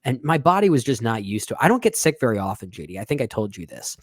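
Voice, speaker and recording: A man co-hosting a podcast in casual chat, still recovering from a sinus infection that leaves his voice a little deeper, quick and self-correcting. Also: sing-song, no room sound, studio quality. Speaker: podcast co-host.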